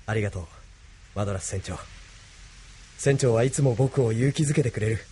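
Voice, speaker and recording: An actor playing an earnest, subdued young resistance hero in an anime audio drama. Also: male voice, fairly low pitch, a little fast, mellow, slight background noise, close to an everyday voice. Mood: subdued, grateful.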